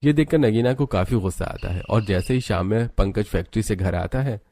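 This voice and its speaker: A man's voice: fairly low pitch, fairly quick, warm tone. A man narrating a scripted moral story as its storyteller.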